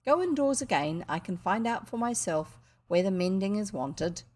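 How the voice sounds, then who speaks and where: A woman voicing a gruff, curt old grandfather. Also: mid-range voice, animated, moderate pace, close to an everyday voice. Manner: curt, commanding.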